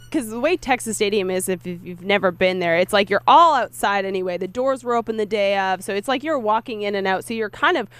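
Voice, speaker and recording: A woman's voice: mid-range voice, clear, resonant tone, dry recording. A female radio co-host telling stories in a chatty, easily amused way. Her voice is recorded dry and close in the studio. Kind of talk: casual sports radio banter.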